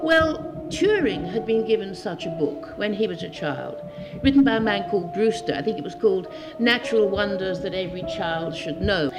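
Female expert interviewee, emphatic and deliberate explanatory talk, clear music underneath. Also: medium pitch, expressive, rough recording.

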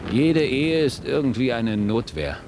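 A man's voice: mid-range voice, animated, a little slow, noisy background. An older man, an actor playing an aging writer who reminisces in a wistful, ironic and literary way in a radio play. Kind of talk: radio play narration and dialogue.

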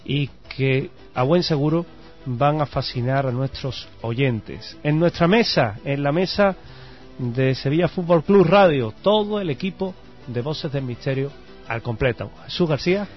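Man, Spain accent, scripted radio hosting, deliberate phrasing, slightly muffled sound, light music. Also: mid-range voice, lively intonation. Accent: Spanish from Spain. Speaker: radio show host. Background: background music.

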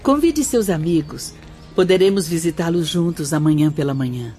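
A gentle spirit sister. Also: female voice, deep voice, expressive, moderate pace. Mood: serene. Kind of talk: radio drama acting. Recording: radio drama with music underneath.

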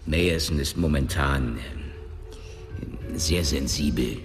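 An actor playing a brash, wily, middle-aged company boss in a radio drama, gravelly and self-important, with hesitant filler sounds between phrases. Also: male voice, rumbling low, slow, in a large hall, music in the background. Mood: probing, brusque.

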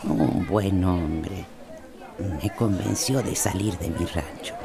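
A woman playing a kind, devout old villager in a radio drama, speaking slowly and reassuringly. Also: a bass voice, gravelly, a crowd in the background, full character voice. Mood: gentle, consoling. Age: older. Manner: slow, reassuring.

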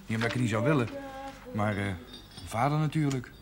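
Working man in a shop male actor, dry, plain-spoken, medium pitch, period TV drama, heavily noisy recording. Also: fast talker, lightly acted. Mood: reasoning. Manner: plain-spoken, matter-of-fact. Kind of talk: period television drama acting. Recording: very noisy vintage TV soundtrack.

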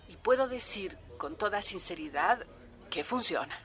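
An actress in a radio drama playing an earnest, proud village farmer who speaks out as an activist in an interview. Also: female voice, medium pitch, lively intonation, normal speed, a bit bright, natural voice. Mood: earnest, resolute.

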